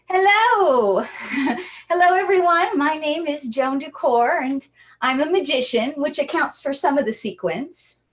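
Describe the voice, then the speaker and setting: A playful woman magician from Hawaii with a bright, high voice delivers jokey stage patter straight to the camera. She performs for an online audience, speaking into the camera mic. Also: lively intonation, measured pace. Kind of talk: online magic show with rhyming story patter.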